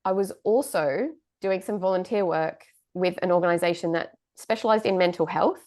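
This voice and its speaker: A woman's voice: on the low side, moderate pace, darkish tone, no echo, clean studio sound. A woman in her thirties from England with a slightly Australian-tinged accent, co-hosting a podcast in candid, casual chat and swearing freely. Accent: Australian-tinged English. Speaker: podcast co-host.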